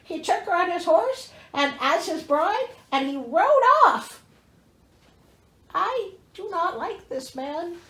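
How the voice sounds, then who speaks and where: A woman's voice: very high voice, animated, takes it slowly, fairly bright tone, reverberant. A woman reading a storybook aloud as host, theatrical and wry, breaking in with exasperated, sarcastic asides.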